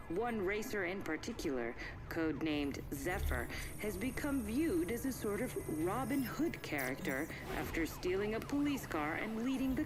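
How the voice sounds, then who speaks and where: An actress playing a serious TV news anchor in a video game, reading a formal scripted report with composed, crisp phrasing. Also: female voice, on the low side, rough recording. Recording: loud music behind the voice. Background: loud music.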